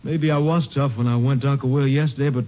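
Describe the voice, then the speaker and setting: An actor playing a frightened, desperate ex-convict in a 1950s radio drama, pleading and defensive in rough rural speech. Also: male voice, medium pitch, fairly even intonation, fast talker, lightly acted. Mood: scared, defensive. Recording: dull vintage 1950s radio recording.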